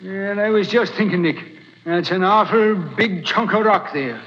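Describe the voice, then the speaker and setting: An actor playing a gruff, stubborn police lieutenant in a 1940s radio drama, blunt in his talk. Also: sits high, unhurried, a bit bright. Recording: muffled old radio broadcast, faint hiss.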